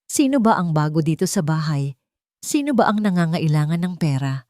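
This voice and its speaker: A woman's voice: low voice, animated, moderate pace, a little mellow, no room sound, clean studio sound. A woman voicing a cold, scheming elderly mother-in-law in an audio drama, manipulative and urgent. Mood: tearful, agitated.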